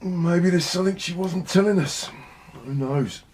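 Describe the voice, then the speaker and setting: An actor playing a brutish working-class London husband in a radio drama, blunt and colloquial, with a coarse, falsely soothing manner. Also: male voice, high-pitched, measured pace, a little mellow, a little hiss or hum. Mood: dismissive.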